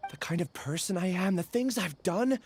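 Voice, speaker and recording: An actor playing a troubled, polite young amnesiac in a video game, hesitant, apologetic and earnest. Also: male voice, high-pitched, expressive, normal speed, slight background noise. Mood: uncertain. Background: game music.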